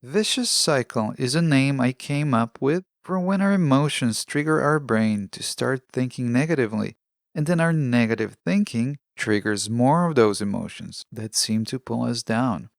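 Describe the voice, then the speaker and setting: Gravelly-voiced man hosting a podcast. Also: mid-range voice, no echo, clean studio sound. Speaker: podcast host. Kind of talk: scripted self-help monologue.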